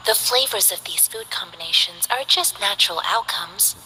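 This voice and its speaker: A calm young Taoist fighter. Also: female voice, mid-range voice, normal speed, slight background noise, rough recording. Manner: composed, earnest, gently formal. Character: calm young female Taoist martial artist.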